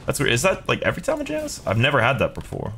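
A man's voice: mid-range voice, wildly swooping pitch, rapid-fire, a bit bright, breathy edge. A young man giving casual, jokey gaming commentary, slangy and sweary, talking to himself and his viewers. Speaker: video game streamer.

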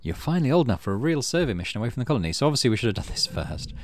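A middle-aged man hosting a let's play, reading game text aloud and thinking out loud as he plays. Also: medium pitch, very animated, very fast, a little hiss or hum. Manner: thinks aloud while playing.

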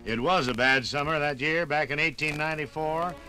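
An American man reads scripted narration for a 1950s radio documentary. His voice sits somewhat high, with dramatic, deliberate phrasing over clearly audible music. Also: rough recording.

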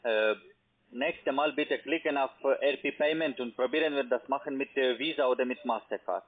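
A male call center agent with a foreign accent, heard over a thin phone line. His voice is medium in pitch, and he gives step-by-step instructions in halting, broken sentences. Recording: tinny narrowband phone line.

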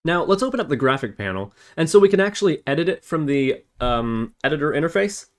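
A man hosting a software tutorial, explaining methodically, step by step. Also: a little high, sing-song, a little fast. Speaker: tutorial host. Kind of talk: scripted-feeling coding tutorial narration. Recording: noisy, with keyboard typing and clicks. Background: computer keyboard typing and mouse clicks.

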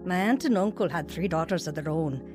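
A woman's voice: lowish voice, expressive, fairly quick, over music, lightly acted. An actress playing an earnest, wistful woman telling of her lonely youth in a radio drama. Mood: wistful, reflective. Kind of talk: first-person radio drama narration and dialogue.

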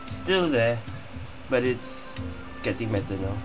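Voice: medium pitch, lively intonation, unhurried. A game streamer giving casual, chatty reaction commentary while playing. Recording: loud game music underneath. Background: game music and dialogue.

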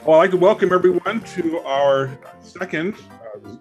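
A man's voice: high-pitched, normal speed, lo-fi sound, faint music behind. A middle-aged man hosting, giving welcoming remarks over a video call. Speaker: society president, show host.

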